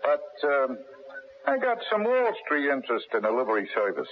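An actor playing a talkative, slightly nervy small-time limousine operator in a radio mystery, brash and informal. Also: male voice, mid-range voice, huge pitch swings, moderate pace, slight background noise. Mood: chatty, boastful. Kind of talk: 1970s radio mystery acting. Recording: muffled vintage radio broadcast.

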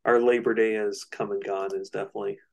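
A man co-hosting a casual music discussion, analytical and somewhat halting as he thinks aloud. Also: lowish voice, little pitch movement, creaky. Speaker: co-host of a music discussion show.

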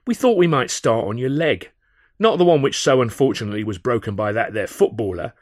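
A man voicing a burly, menacing sailor thug in an audiobook, with a nasty sneering drawl and rough dialect. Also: mid-range voice, expressive, brisk, lightly acted. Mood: sneering menace.

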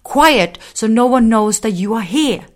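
A woman's voice: fairly high pitch, lively intonation, measured pace, pitched above the performer's own voice. Woman doing a fussy, scolding little blue man. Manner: insistent, scolding, repetitive. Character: small fussy blue man creature, nervous and stern.

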